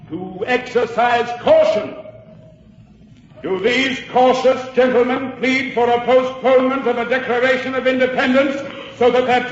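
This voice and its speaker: Slow, echoing, impassioned male orator. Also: very high-pitched. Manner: deliberate, declamatory oratory with weighty pauses. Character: impassioned, stern congressional orator urging independence. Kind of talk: 1940s radio acting of a speech. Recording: echoey hall on dull vintage radio.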